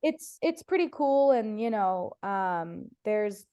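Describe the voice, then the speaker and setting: A woman's voice: mid-range voice, lively intonation, slow, mellow. A woman comedian from Ohio, whose first language was Farsi, chatting casually as a podcast guest, telling stories with playful voiced quotes. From United States (Ohio).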